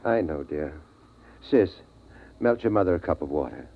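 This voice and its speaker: An actor playing a patient, weary, protective father with an American accent in a 1950s radio drama, terse and steady. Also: extremely low pitch, moderate pace, heavily acted. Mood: soothing, weary. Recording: muffled, tinny vintage radio recording.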